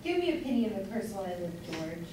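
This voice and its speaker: A woman's voice: mid-range voice, very animated, very fast, natural voice. A young woman playing a fond, meddling busybody wife in an amateur staged play reading. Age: young adult. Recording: echoey hall from far off, noisy.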